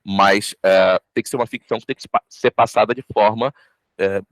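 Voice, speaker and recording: A man's voice: lowish voice, fairly even intonation, brisk, no room sound. A man with a Brazilian Portuguese accent giving casual interview advice, explaining things with emphatic repetition. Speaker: voice actor giving career advice.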